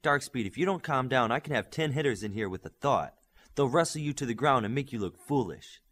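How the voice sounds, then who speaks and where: Man acting female superhero, composed, authoritative, measured, slightly husky, audiobook dialogue. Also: mid-range voice, animated, a bit bright. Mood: stern warning.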